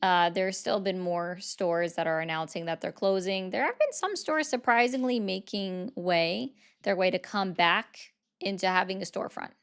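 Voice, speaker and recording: Brief: woman streamer, clear smooth tone, relaxed chatty commentary, mid pitch. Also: normal speed. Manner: chatty, reads viewer gifts aloud. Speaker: political livestream host. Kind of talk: casual livestream commentary.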